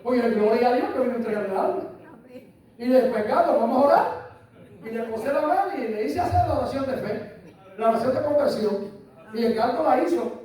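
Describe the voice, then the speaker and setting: Older Puerto Rican pastor, high mellow voice, emphatic, echoey hall mic from far off. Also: male voice, a little fast. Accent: Puerto Rican Spanish. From Puerto Rico. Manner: emphatic, repeats phrases, asks listeners "¿entiendes?". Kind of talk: sermon. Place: church hall. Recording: echoey hall, voice from far off, faint room noise.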